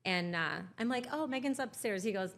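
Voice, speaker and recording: A woman's voice: medium pitch, animated, brisk, a bit bright, a little hiss or hum. A woman hosting a chat podcast, interviewing her guest and affirming her often with short replies. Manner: affirming, constant backchannel "mm-hmm". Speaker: podcast host. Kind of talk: casual interview.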